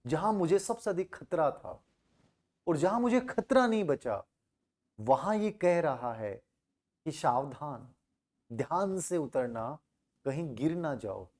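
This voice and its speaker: An indignant young prince in a moral tale, acted out by a male storyteller, speaks. He questions his teacher in puzzled disbelief, his pitch moving in lively swings. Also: takes it slowly, pauses often. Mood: incredulous. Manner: incredulous, emphatic questioning.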